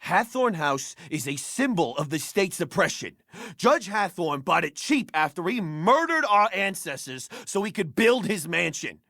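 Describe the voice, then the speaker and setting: Indignant woman activist, gravelly creak, animated pitch, dramatic, righteous, video game character. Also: measured pace, dry recording, studio quality, exaggerated voice. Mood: righteous indignation. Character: indignant, aggrieved activist, descendant of accused witches.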